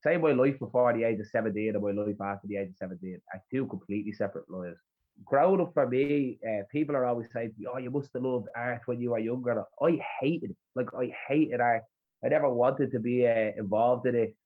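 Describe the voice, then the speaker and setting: Rough north Dublin man on a tinny line. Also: medium pitch, a little fast. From Dublin, Ireland. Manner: rambling, lots of fillers and restarts. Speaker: podcast guest, spoken word poet. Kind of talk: casual interview chat. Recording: husky voice over a tinny remote line.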